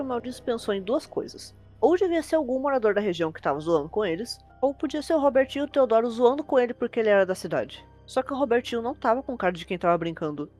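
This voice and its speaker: A Brazilian woman narrates a scary tale at a brisk clip. Her pitch sits mid-range and her wording is casual and colloquial. Quiet suspense music runs underneath. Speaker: narrator, host. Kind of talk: horror storytelling narration in casual colloquial language. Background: suspense background music.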